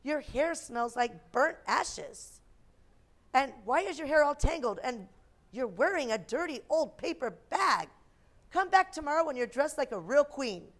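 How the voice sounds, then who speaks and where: A woman's voice: sits high, animated, unhurried, voice pushed up. A woman voicing a snobbish, rude young prince in a storybook. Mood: snooty.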